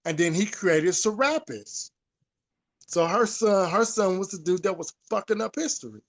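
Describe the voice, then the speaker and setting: A man's voice: high voice, expressive, moderate pace. A man talking casually and informally about history, repeating phrases for emphasis and swearing now and then.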